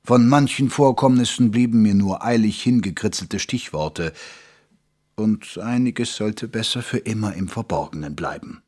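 A man's voice: fairly low pitch, normal speed, a little mellow, lightly acted. A man playing a grave, literary Victorian chronicler, narrating an audio drama in a solemn storytelling manner. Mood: solemn, reflective.

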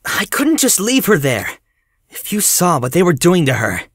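Woman acting a young hero, earnest, protective, lowish voice, lively intonation, audio drama. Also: moderate pace, breathy edge, dry recording, clean studio sound. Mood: insistent.

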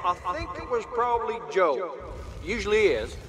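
An actor playing a brash, dim army demolition soldier, boisterous and excitable, in a military video game. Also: male voice, high-pitched, normal speed, loud music behind the voice. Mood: mocking. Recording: loud battlefield and vehicle noise.

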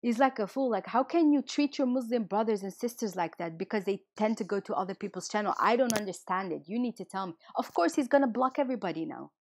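A hoarse woman who is losing her voice hosts a livestream and rants indignantly, firing rhetorical questions at her viewers. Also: medium pitch, lively intonation, quick pace, few pauses. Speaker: livestream host. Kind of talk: heated casual livestream rant.

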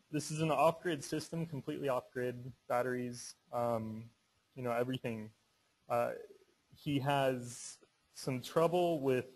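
Male student speaker, mid-range pitch, informal presentation delivery. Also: rough recording.

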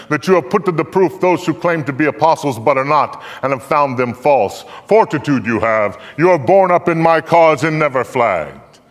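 An older man giving a solemn, declamatory live recitation of scripture on stage, drawing out phrases for emphasis. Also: on the high side. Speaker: dramatic reader on stage. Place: theater stage, indoors. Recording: echoey hall with long reverb.

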